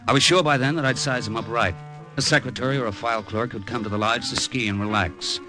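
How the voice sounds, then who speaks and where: An actor playing a wry, easygoing middle-aged American gambler, speaking in a laconic 1950s radio drama style. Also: male voice, mid-range voice, fairly even intonation, quick pace, music in the background, close to an everyday voice, poor audio. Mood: wry narration. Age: 40s. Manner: laconic, breezy, conversational. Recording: vintage radio drama recording.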